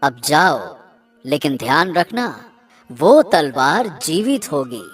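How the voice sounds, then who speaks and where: Woman voicing a slow, low, mysterious cartoon swan. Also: very animated, slightly rough, soft background music, full character voice. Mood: solemn, instructing. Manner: slow, deliberate, dramatic. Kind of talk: animated children's story dialogue.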